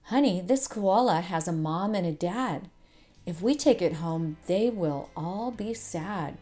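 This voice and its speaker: A patient, firm and loving mother acted by a woman talks in a storybook tale. The delivery is slow, with faint music behind it. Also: fairly low pitch, expressive, mellow. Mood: firm, explaining. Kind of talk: children's storybook read-aloud.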